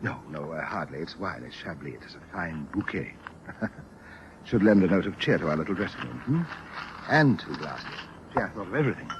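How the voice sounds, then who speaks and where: An actor playing a courtly, unsettling suitor who speaks formally and recites verse in an old-time radio drama. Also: male voice, sits low, lively intonation, moderate pace. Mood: courtly, solicitous. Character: courtly, eerie male poetry-quoting suitor, soft-spoken and unsettling. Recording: muffled, hissy vintage radio broadcast.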